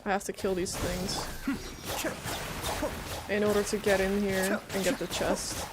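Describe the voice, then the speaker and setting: A woman's voice: medium pitch, little pitch movement, a little slow, very noisy. A woman giving casual live gameplay commentary, thinking aloud and often questioning what she sees. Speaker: game streamer. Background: faint game music.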